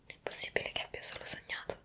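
A woman's voice: quick pace, sharp tone, close to an everyday voice. A young woman playing a bewildered time traveller in a whispered ASMR roleplay, hesitant and full of wonder, close to the microphone. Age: young adult. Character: bewildered young woman magically transported to the past.

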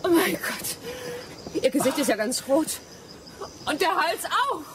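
Alarmed young lady, very high-pitched. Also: big pitch swings, a little slow. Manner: alarmed, exclaiming. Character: anxious young lady travelling in the jungle. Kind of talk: radio drama acting. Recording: noisy outdoor jungle sound effects.

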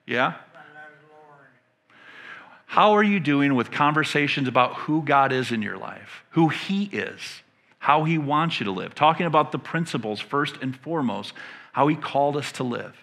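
Bright, punchy American male preacher. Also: mid-range voice, animated, vocal fry. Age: middle-aged. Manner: emphatic, pauses to pose questions to listeners. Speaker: pastor. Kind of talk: conversational sermon teaching. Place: indoor stage in a church room.

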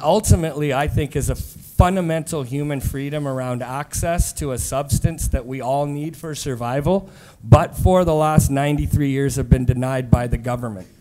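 Mellow-voiced man, emphatic panelist. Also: medium pitch, moderate pace, rough recording. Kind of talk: panel discussion. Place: conference stage.

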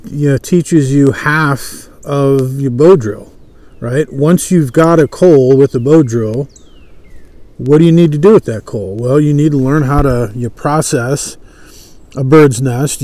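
A man in his thirties giving a casual, unhurried, folksy show-and-tell as an instructor. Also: medium pitch, mellow, dry recording. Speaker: bushcraft instructor and presenter. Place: outdoors at a table on a lawn by woods.

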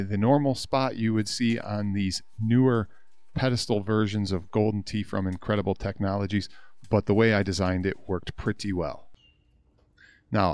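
Lower-pitched American man explaining DIY work. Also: darkish tone, dry recording. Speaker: hobbyist video host.

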